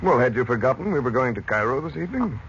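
Commanding uncle, widely swinging pitch. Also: male voice, sing-song, fast, fairly bright tone. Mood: calm, serious. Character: commanding, protective uncle, adventurous American man. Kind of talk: 1930s radio serial acting. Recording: dull, noisy 1930s radio recording.

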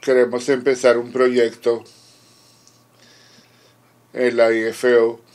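A man working as a conference interpreter, giving a formal simultaneous interpretation in short, measured phrases with halting pauses. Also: medium pitch, completely flat delivery, darkish tone.

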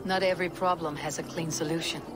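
An actress playing a stoic, blunt Viking warrior woman in a video game, measured and resolute. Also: sits low, fairly even intonation, close to an everyday voice. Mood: solemn. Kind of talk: video game cutscene acting.

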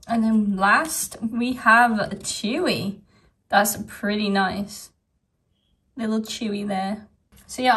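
A woman vlogger talking casually through an unboxing, her voice a bit croaky from a sore throat, soft-spoken and chatty. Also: medium pitch, recorded from a distance. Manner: soft-spoken, chatty, frequent small laughs.

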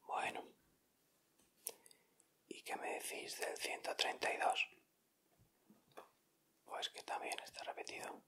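A man's voice: slow pace, pauses often, bright, forceful tone. A young man with a Castilian Spanish accent whispering in ASMR style as a host, addressing his viewers directly and without hurry.

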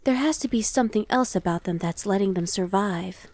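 A woman's voice: medium pitch, fairly quick, a little mellow, lightly acted. A woman voicing an impatient, sardonic female demon scout in an ASMR roleplay, talking in a conversational, dismissive way. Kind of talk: ASMR roleplay, one-sided dialogue.

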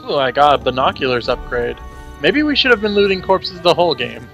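A man's voice: a little high, sing-song, brisk, vocal fry, muffled recording. A man talking casually with his partner while he plays a video game. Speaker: gaming channel player. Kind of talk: casual co-op gameplay chat. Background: game music and sound effects.

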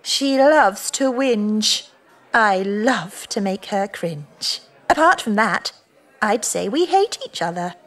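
A woman's voice: medium pitch, lively intonation, slow pace, fairly bright tone. An actress playing a brash, teasing British woman of about forty in an audio drama, with a blunt, mocking, flirtatious manner. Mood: provocative. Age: middle-aged.

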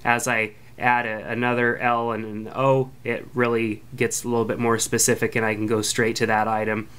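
A man's voice: mid-range voice, moderate pace, clean tone. A man hosting a podcast, casually walking through an app review with lots of ums and uhs. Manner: relaxed, filler-heavy, friendly. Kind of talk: casual product review voice-over.

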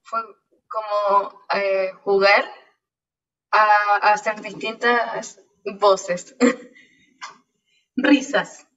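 A woman speaks very slowly and hesitantly as a workshop participant. She sounds away from the mic, with dull video-call audio. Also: medium pitch, steady tone, fairly bright tone, distant mic. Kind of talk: short hesitant remarks.

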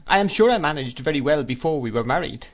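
A man's voice: on the high side, expressive, quick pace, a little hiss or hum, pitched above the performer's own voice. A man voicing a timid, tearful young wife who pleads plaintively and falters, in a classic novel reading. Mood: frightened, faltering. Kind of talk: classic novel read aloud.

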